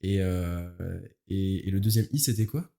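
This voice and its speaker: A man of nineteen speaks in a lowish, dark and relaxed voice. He talks casually as a guest, using informal slang and feeling his way through his phrases. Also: unhurried. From Paris, France. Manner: informal, youthful slang, hesitant searching phrasing. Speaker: interview guest, musical theatre performer. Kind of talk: casual interview chat.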